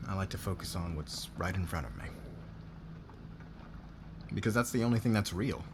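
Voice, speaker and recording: A man acting in an intimate ASMR roleplay as a demanding, guarded noble boss weighed down by debt, speaking haltingly with sighs. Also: deep voice, lively intonation, noisy background. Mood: weary, confiding.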